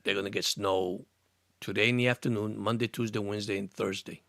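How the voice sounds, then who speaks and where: A man's voice: fairly low pitch, steady tone, brisk, gravelly, a bit bright, dry recording, clean studio sound. A man of about sixty from Cuba, raised in Miami, hosting a casual sports live stream, chatting in a rambling, earnest way and answering viewer comments. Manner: rambling, repeats words and restarts, earnest. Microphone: studio microphone.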